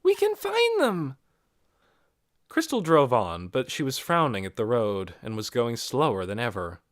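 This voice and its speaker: An audiobook narrator reading a novel in a dramatic, expressive storytelling manner. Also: mid-range voice, moderate pace, dry recording, studio quality.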